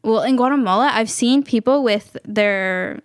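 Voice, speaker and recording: Young American woman, casual radio chat, clear smooth tone, dry studio sound. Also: on the high side, measured pace, no echo. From United States. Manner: chatty, many fillers and "like"s. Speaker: youth radio co-host. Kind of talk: casual conversation.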